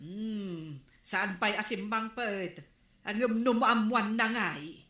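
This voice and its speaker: A woman's voice: medium pitch, slow, bright, forceful tone, reverberant. An actress playing a stern mother who scolds and nags in a comedic drama. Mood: scolding. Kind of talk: comedic radio drama acting.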